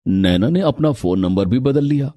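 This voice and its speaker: An actor playing a serious husband and elder brother in a moral story, explaining things soberly. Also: male voice, mid-range voice, animated, moderate pace, dark tone, no room sound, lightly acted. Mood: grave. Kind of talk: Hindi moral story character acting.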